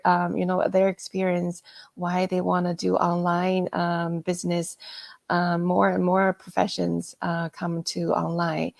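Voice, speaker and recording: Female interview host, gravelly edge, halting informal delivery, close dry sound. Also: fairly low pitch, darkish tone, no echo. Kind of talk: live online interview chat.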